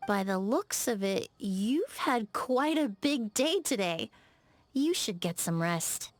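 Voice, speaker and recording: An actress playing a polite, precise young woman perfumer in a video game, speaking in a measured, articulate and frank way. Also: medium pitch, expressive. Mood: gentle. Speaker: game character voice actor. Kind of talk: scripted video game dialogue.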